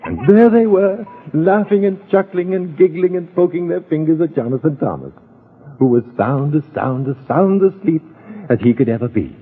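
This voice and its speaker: An actor playing a gentle, indulgent father telling a bedtime story in a 1930s radio drama. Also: fairly high pitch, lively intonation, breathy edge, faint music behind. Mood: gentle, playful. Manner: playful, coaxing, drawn-out storytelling. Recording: tinny old radio recording.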